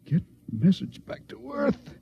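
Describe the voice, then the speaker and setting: Gasping, dying doctor, very slow. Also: male voice, high voice, wildly swooping pitch, full character voice. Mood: dying, urgent. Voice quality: creaky, halting. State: weak, gasping. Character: dying ship's doctor, weak and gasping a last warning. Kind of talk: 1950s radio drama acting. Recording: dull, hissy 1950s radio recording.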